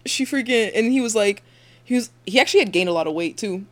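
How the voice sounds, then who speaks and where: Deep-voiced young woman from Brazil. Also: expressive, quick pace. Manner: blunt, swearing, self-mocking storyteller. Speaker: podcast co-host. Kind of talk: casual chat.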